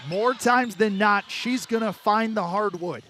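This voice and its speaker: Guy doing play-by-play sports commentary, pretty high voice, some arena noise behind him. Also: measured pace, fairly bright tone. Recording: noisy sports venue.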